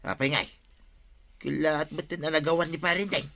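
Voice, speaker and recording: A man's voice: fairly high pitch, fairly even intonation, normal speed, vocal fry, sharp tone. A man with an Ilocano accent playing a teasing village friend in an audio drama. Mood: curious.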